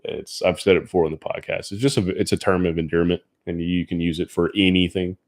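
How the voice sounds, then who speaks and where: A man's voice: low voice, little pitch movement, fast talker. A man from Louisiana with a light Cajun accent, co-hosting a podcast in laid-back, rambling casual chat. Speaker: podcast co-host.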